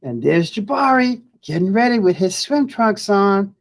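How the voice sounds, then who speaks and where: A woman reading a children's storybook aloud expressively and deliberately, pausing to chat to viewers. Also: mid-range voice, expressive.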